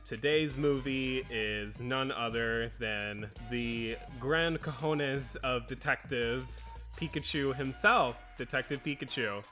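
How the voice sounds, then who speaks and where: A man's voice: medium pitch, slow, sharp tone. A man hosting a film review, talking through his opinions as commentary. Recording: music bed under voice.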